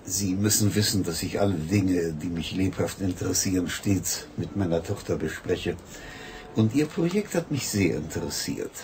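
An actor in a 1980s German radio drama playing a rich elder financier, deliberate, formal and authoritative. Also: male voice, sits low, expressive, darkish tone, slight background noise. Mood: businesslike. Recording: music behind the voice.

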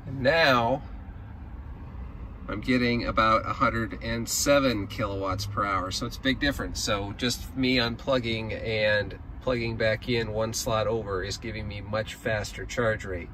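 A man's voice: fairly low pitch, steady tone, measured pace, pushed and bright, a little hiss or hum. A man in his forties chatting to a phone camera from inside his parked car, loose and casual with plenty of ums and uhs. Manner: chatty, plain-spoken, many ums and uhs. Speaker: road trip vlogger. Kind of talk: casual vlog talking to camera. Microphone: phone camera at arm's length.

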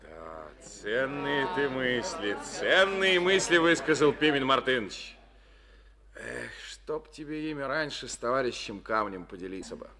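An actor playing a confident district party secretary who speaks in a measured, assured way, in a Soviet radio drama. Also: male voice, mid-range voice, animated, pushed and bright.

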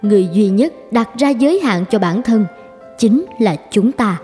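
A woman's voice: medium pitch, animated, moderate pace, warm tone, faint music behind. A woman reading scripted story narration as a narrator.

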